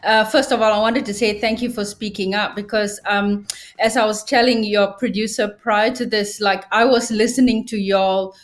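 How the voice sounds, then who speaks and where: A woman speaks in an earnest, halting way, often pausing on fillers. Her voice sits at an ordinary middle pitch. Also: fairly even intonation. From Malaysia. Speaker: guest physician. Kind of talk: casual interview.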